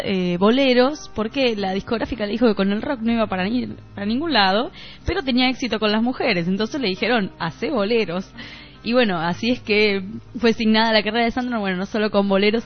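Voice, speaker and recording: An Argentine woman hosting a radio show, explaining informally and searching for her words. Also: fairly high pitch. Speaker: radio host. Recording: dull lo-fi radio recording.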